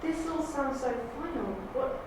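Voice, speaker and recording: A woman's voice: high-pitched, a little slow. Woman doing an earnest questioning daughter, sci-fi audio drama, super echoey, far from the mic. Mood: anxious. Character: earnest, questioning daughter of an old Time Lord. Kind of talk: audition improvised drama acting. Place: echoey audition room. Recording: very echoey room, distant mic, faint noise.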